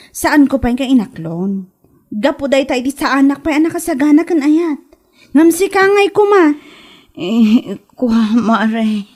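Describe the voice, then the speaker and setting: A woman playing a sharp-tongued, defiant wife who complains with exasperation in a comedic audio drama. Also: sits high.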